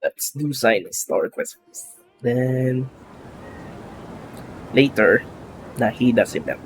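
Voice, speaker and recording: Slow-paced man casually streaming a game. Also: medium pitch, expressive, noisy background. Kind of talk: casual live gameplay commentary. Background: faint game music.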